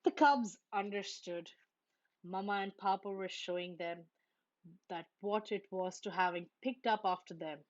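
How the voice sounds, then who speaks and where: Slow-reading woman storyteller, muffled recording. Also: medium pitch. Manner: expressive, acts out the characters' lines. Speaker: storybook reader. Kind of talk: read-aloud children's storytelling.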